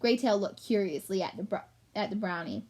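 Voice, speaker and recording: A woman's voice: medium pitch, expressive, a little fast, dark tone, husky. A woman reading a children's book aloud, with explanatory asides for young listeners. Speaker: storybook reader.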